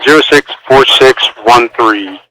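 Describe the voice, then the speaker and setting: A man's voice: mid-range voice, unhurried, pushed and bright. A man working as a military mission controller, giving procedural instructions in clipped phrases over a radio transmission. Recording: noisy military air-band radio. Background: radio static and clicks.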